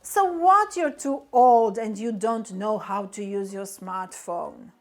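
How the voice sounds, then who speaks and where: A woman's voice: mid-range voice, takes it slowly, soft background music. A woman, a business coach speaking earnestly and directly to camera with motivational encouragement. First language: Romanian.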